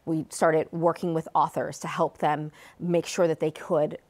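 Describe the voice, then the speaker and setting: A woman's voice: sits low, little pitch movement, a little slow, a little mellow. An American woman speaking as an author and guest in an interview, explaining her work. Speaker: author and guest, book advocate.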